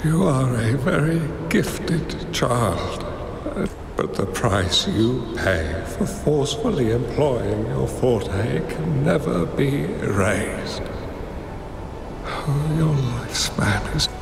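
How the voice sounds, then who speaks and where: An actor playing a wise old hermit master in a game cutscene, speaking in a measured, grave way. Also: male voice, medium pitch, lively intonation, gravelly, noisy background. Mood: solemn. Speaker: mentor character. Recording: roomy reverb under game music.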